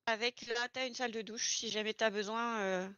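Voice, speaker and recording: A woman's voice: on the high side, fairly even intonation, moderate pace, sharp tone, clear, resonant tone, no echo, highs cut off. A woman informally giving instructions and showing someone around during an improvised online roleplay. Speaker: player acting a garage employee training a newcomer.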